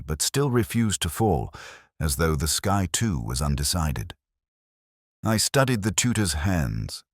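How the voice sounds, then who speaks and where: A man reading a scripted first-person mystery story in a measured, literary and brooding manner. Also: a bass voice, breathy edge, no echo, studio quality. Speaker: narrator.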